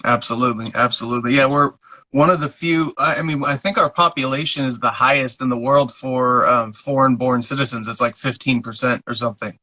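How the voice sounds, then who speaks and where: A man's voice: medium pitch, steady tone, fairly quick, pushed and bright, no echo, poor audio. A man hosting a podcast, talking in an earnest, rambling way with frequent restarts. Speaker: podcast host. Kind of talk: casual interview.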